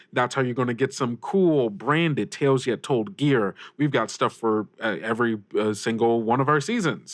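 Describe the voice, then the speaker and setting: A man's voice: medium pitch, no echo. Upbeat, chatty male podcast host, dry sound. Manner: upbeat, chatty, informal, rambling. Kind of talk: casual podcast announcement.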